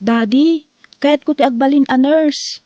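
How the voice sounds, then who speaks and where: A woman acts out a devoted young daughter in a family audio drama. She speaks in a high voice with an Ilocano Filipino accent, earnest and determined about what she wants. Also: measured pace, mellow, lo-fi sound. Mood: eager, sweet. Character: young adult daughter, loving, earnest, determined nursing student.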